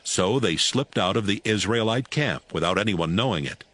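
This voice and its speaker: A man narrating scripture from a script in a measured storytelling manner. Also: lowish voice, animated, a bit bright.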